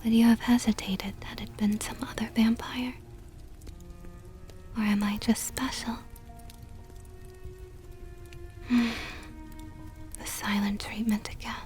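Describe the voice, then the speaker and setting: A woman playing a seductive, possessive vampire countess in an ASMR roleplay, teasing in a soft whisper with mockingly sweet, coaxing words. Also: medium pitch, close to an everyday voice. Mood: amused, taunting.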